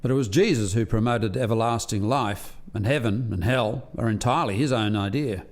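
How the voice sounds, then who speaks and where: A man's voice: lowish voice, fairly quick, darkish tone, close to an everyday voice. A man playing a sober alien researcher in a radio play, reporting in a formal, expository way. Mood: sober, explaining.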